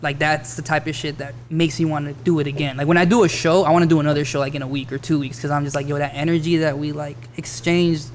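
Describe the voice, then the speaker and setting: A man in his thirties with a high-pitched voice, a rapper chatting loosely on a podcast in a bar, swearing often and saying "like" a lot. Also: flat delivery, fast, few pauses, slight background noise. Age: 30s. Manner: laid-back, swears often, fills with "like". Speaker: rapper guest. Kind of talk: casual podcast chat.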